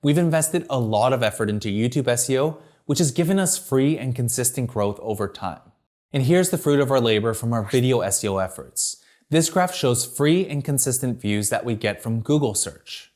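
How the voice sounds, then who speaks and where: Man reading a clear marketing tutorial. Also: medium pitch, expressive, normal speed, a little mellow. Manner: clear, structured, upbeat. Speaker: video marketing presenter. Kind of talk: scripted tutorial read from teleprompter.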